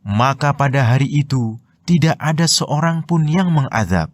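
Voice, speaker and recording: A man with an Indonesian accent reading scripted religious commentary in a measured, explanatory way. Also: medium pitch, expressive, no echo, studio quality. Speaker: narrator of Quran translation and commentary.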